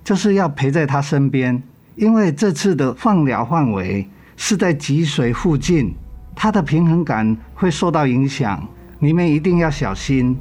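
Casting: caring hospital doctor, fairly high voice, professional explanatory tone, faint music under the drama. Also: male voice, lively intonation, unhurried, darkish tone. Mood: serious. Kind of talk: radio drama acting.

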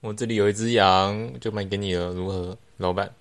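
A man's voice: lowish voice, fairly even intonation, brisk, close to an everyday voice. A teenage boy playing a sly young man who feigns innocence, in an amateur radio drama. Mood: haggling.

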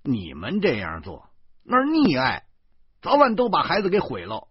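A man playing a furious, self-righteous bully in an audio story, stammering and shouting emphatically as he scolds. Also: on the high side, wildly swooping pitch, a little slow, dull audio, voice pushed up. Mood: smug, scolding.

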